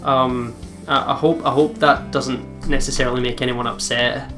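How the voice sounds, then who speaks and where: A man's voice: medium pitch, steady tone, moderate pace. A man hosting a let's play, chatting casually and informally over a game. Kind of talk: casual chat over gameplay. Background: music.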